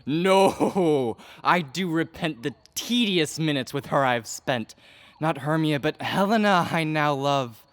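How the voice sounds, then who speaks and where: A man playing an ardent, impetuous young gentleman in a Shakespearean verse audio drama, with a declamatory manner. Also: on the high side, lively intonation, slow, no room sound. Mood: rapturous.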